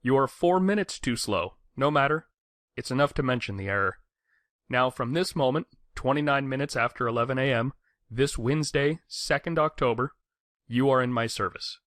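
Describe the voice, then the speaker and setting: A man playing an exacting, unemotional gentleman in a dramatized audiobook, speaking in a cool, precise, formal manner. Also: mid-range voice, moderate pace, no echo, clean studio sound, lightly acted. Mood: precise, curt. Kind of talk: dramatized audiobook character dialogue.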